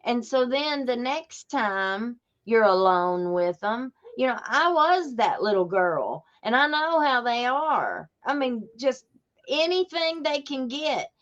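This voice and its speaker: Slow-talking Appalachian woman, folksy storyteller. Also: on the high side, expressive, a bit bright, muffled recording. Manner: folksy, emphatic, repetitive storytelling. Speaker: podcast host. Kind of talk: casual true-crime podcast talk.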